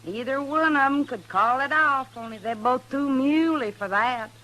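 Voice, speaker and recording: Sharp-voiced Southern mountain wife. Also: female voice, sits high, normal speed. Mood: plaintive, resigned. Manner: folksy, backwoods dialect. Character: plain-spoken, weary mountain wife from a feuding family. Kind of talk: old-time radio Western acting. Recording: noisy old radio recording.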